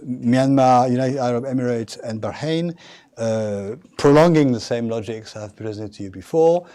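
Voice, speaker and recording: A man giving a university lecture, formal and halting, with many fillers and restarts. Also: medium pitch, warm tone. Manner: formal, addresses audience directly.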